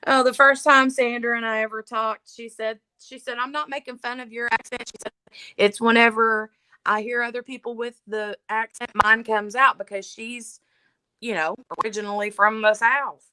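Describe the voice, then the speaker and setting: A woman's voice: fairly high pitch, little pitch movement, normal speed, bright, forceful tone, clear, resonant tone, no echo, low-quality recording. A woman hosting a casual livestream from home, chatting and laughing and reading viewer comments aloud. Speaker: livestream host. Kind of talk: casual live chat.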